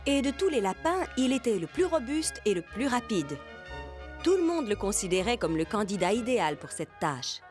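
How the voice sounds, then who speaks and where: A woman narrating an animated fairy tale as a scripted storyteller. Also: mid-range voice, close to an everyday voice.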